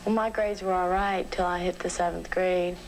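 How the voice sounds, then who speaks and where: A teenage girl answering interview questions in a halting, subdued way on an old documentary soundtrack. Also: lowish voice, fairly even intonation, a little hiss or hum, poor audio. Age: teens. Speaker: interviewed student marijuana smoker. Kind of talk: hesitant casual documentary interview.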